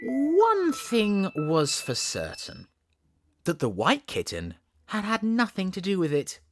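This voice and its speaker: A man with a Southern accent reading a storybook as an audiobook narrator, in an expressive, theatrical way. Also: high-pitched, slow, soft background music.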